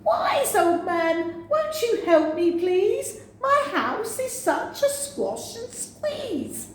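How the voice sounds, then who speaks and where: A woman's voice: extremely high pitch, sing-song, drawn-out words, pitched above the performer's own voice, heavily acted. A woman voicing a flustered, grumbling little old woman from a storybook, wailing and pleading. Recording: very echoey room, faint noise.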